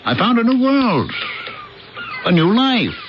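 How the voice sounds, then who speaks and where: Homesick american factory foreman in old radio drama, halting talk, swings up and down a lot, muffled. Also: extremely high pitch, sing-song, full character voice. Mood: hesitant, earnest. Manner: halting, plain-spoken, conversational with hesitations. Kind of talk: early 1980s American radio drama acting. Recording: noisy, band-limited old radio broadcast recording.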